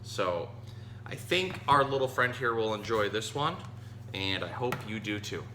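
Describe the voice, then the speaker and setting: A man's voice: fairly low pitch, expressive, a little slow. A man hosting as a zookeeper, explaining animals in casual, educational talk. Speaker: zookeeper host. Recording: echoey room with faint hum.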